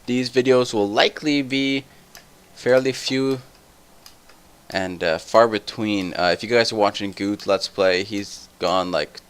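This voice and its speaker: A tired young man with a somewhat deep voice, rambling through casual gameplay commentary full of tangents. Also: measured pace, smooth. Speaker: let's play host.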